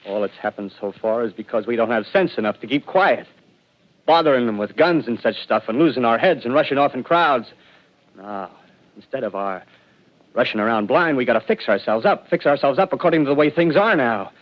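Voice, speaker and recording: Brief: man acting a feverish, scheming ex-soldier in a vintage radio drama, mid-pitched, muffled old broadcast. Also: lively intonation, brisk, lightly acted. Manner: rambling, feverish, swings between hushed and loud. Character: desperate male ex-militiaman scheming to survive the invaders.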